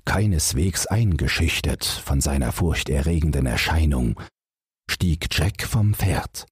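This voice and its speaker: Male audiobook narrator, slow pace, very husky, dry close sound, measured dramatic fairy-tale storytelling. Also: very deep voice, lively intonation, no echo.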